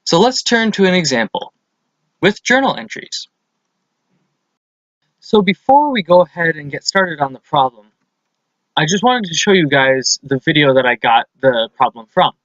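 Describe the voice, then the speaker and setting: A man with a slightly high voice delivers scripted instructional narration in a methodical, explanatory way. The recording is dry and close, with a somewhat dull, lo-fi tone. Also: expressive, normal speed, pauses often, a bit bright, no room sound. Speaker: accounting lesson presenter.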